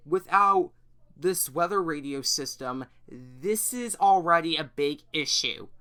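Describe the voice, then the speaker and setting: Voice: mid-range voice, sharp tone, clear, resonant tone. An earnest, emphatic YouTuber giving semi-scripted commentary on an issue. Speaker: YouTuber presenting an issue video.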